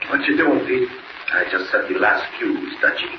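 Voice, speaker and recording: An actor playing a scheming, gloating villain with a Spanish accent and broken English in a 1940s radio serial. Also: male voice, lowish voice, expressive, moderate pace, close to an everyday voice. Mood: gloating, sly. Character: scheming Spanish-speaking male villain plotting a bombing. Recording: noisy, muffled, echoey 1940s radio recording.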